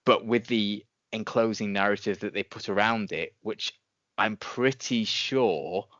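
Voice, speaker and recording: A man's voice: fairly low pitch, unhurried, a bit bright, no echo, lo-fi sound. A man co-hosting a podcast in a casual, conversational way, circling and rephrasing his points. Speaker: podcast co-host. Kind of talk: casual review chat.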